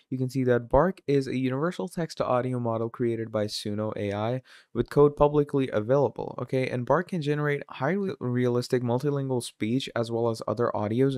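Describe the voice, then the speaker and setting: A man giving a casual screen-recorded software tutorial, filling his talk with "obviously" and "you're gonna". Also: mid-range voice, a little fast, clean tone, no room sound, clean studio sound. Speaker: tutorial presenter.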